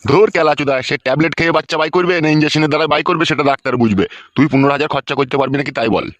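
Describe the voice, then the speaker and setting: A man voicing a fast-talking, bossy village uncle. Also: fairly high pitch, a bit bright, no echo, lo-fi sound, natural voice. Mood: impatient, explaining. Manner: scolding, bossy, peppered with check-in questions. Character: scheming, foul-mouthed middle-aged village uncle, bossy and greedy. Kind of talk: Bengali comedy cartoon dubbing.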